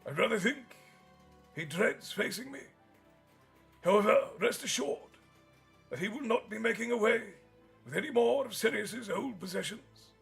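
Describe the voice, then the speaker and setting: A man voicing a wise, elderly male headmaster who speaks in a measured, courteous way, high above his own voice, with soft music behind. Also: expressive, gravelly, full character voice. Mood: assured.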